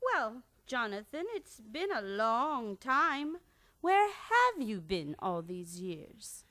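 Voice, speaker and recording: A woman's voice: a little high, sing-song, takes it slowly, fairly bright tone, heavily acted. A woman playing a genteel, dotty old aunt in a radio play, prim, fluttery and polite. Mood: polite, guarded.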